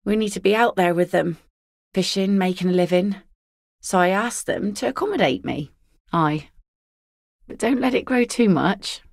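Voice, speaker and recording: A gravelly, blunt fisherwoman. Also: mid-range voice, expressive, moderate pace, long pauses, no echo. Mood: dry, wry. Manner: dry, wry and plain-spoken. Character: weathered woman net picker, blunt and dry. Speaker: fisherwoman and mother. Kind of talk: video game voice acting.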